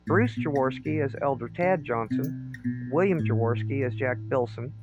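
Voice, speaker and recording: A man's voice: medium pitch, moderate pace. Dull-sounding male announcer over guitar music. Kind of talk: scripted credits announcement.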